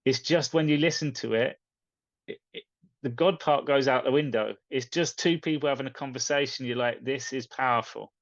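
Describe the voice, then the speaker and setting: A man's voice: mid-range voice, normal speed, no echo, lo-fi sound. A man talking casually and earnestly to viewers as a coach recommending something, in short emphatic phrases. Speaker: spiritual coach and video host.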